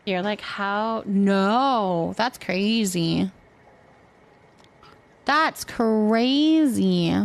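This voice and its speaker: A woman chatting casually while streaming a game, thinking out loud as she talks to her viewers. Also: mid-range voice, animated, extremely slow, pauses often. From California, United States. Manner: chatty, thinking aloud to herself. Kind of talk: casual gameplay stream chat.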